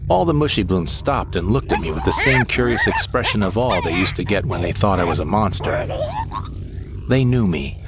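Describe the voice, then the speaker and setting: A low, deep male voice tells the tale as a space hero's sidekick, a man playing him, his pitch swinging widely as he lingers over each strange sight. He speaks in a measured storytelling manner over steady music in the background. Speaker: narrator. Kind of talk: scripted audio drama narration.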